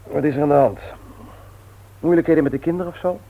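An actor playing a busy, sociable brother in a radio play, talking in a brisk, frank, conversational way. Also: male voice, fairly high pitch, lightly acted. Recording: tinny, noisy old radio recording.

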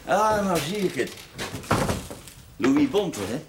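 A man's voice: on the high side, big pitch swings, takes it slowly. An actor playing a reserved, plain-spoken works foreman in a television drama, terse and matter-of-fact. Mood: civil, guarded. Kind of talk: naturalistic television drama dialogue. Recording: noisy old television soundtrack.

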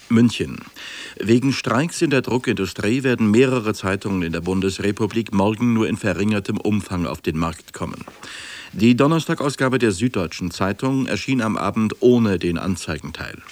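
Sober, low-pitched male newsreader. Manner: sober, factual. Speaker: radio newsreader. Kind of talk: formal scripted news bulletin.

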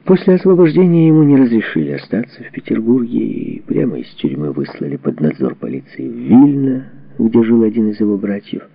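A man reading a memoir as a scripted audiobook narration, with measured, dramatic phrasing and expressive delivery. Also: lowish voice, gravelly, no room sound. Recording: dull, muffled lo-fi audio.

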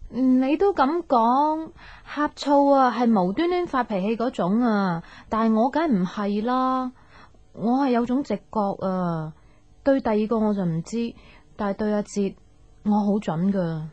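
An actress with a Hong Kong Cantonese accent playing a pensive, soft-hearted young woman unsure in love, reflective and earnest in an intimate radio drama. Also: a little high, measured pace, lightly acted. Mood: hesitant, probing. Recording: dull radio recording, music underneath.

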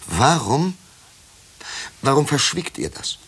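An actor in a 1950s German radio play, playing a solemn, righteous pastor in a grave, formal, measured preaching tone. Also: male voice, mid-range voice, very animated, creaky, fairly bright tone, slightly rough, full character voice. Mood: stern, disbelieving. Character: solemn, righteous male pastor investigating witchcraft. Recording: hissy 1950s radio recording.

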